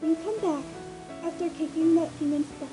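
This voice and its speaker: A man voicing a loyal, confident female unicorn pony in a falsetto for a dramatic story reading. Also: fairly even intonation, moderate pace, mellow, soft background music, poor audio. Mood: resolute.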